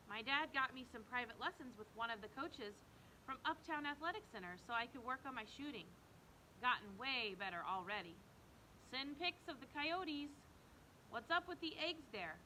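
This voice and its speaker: Chatty preteen pen pal read by a woman: breezy, casual, bright and fairly high, slight noise behind. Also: rough recording. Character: chatty preteen friend writing an upbeat email.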